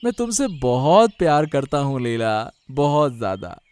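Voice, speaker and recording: A man's voice: mid-range voice, very animated, a little slow, a little mellow, smooth. A man voicing a kind, devoted young husband in a cartoon story, earnest and a little hesitant. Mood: tender. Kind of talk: Hindi cartoon story voice acting.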